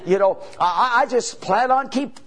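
A man in his seventies preaching a sermon as a traveling evangelist, folksy and repetitive, building to emphatic bursts. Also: very high voice. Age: 70s. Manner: preaching with rising emphatic bursts and drawn-out pauses; folksy, repetitive, peppered with "you know" and "amen".

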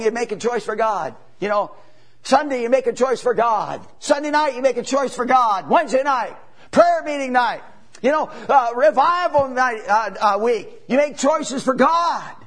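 Old evangelist guy in his 70s preaching, high voice, lots of "you know" and "amen". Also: lively intonation, a bit bright. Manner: preaching with rising emphatic bursts and drawn-out pauses; folksy, repetitive, peppered with "you know" and "amen". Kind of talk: sermon.

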